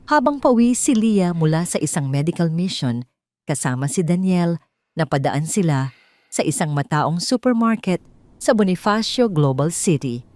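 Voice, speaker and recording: A woman with a Filipino accent narrating a scripted dramatic story in measured, sentimental phrasing. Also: fairly low pitch, darkish tone, no echo.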